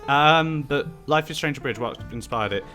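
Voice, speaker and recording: Guy reading out viewer questions, dry teasing chat, mid pitch, guitar music behind. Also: moderate pace, pushed and bright. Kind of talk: casual Q&A chat with friends.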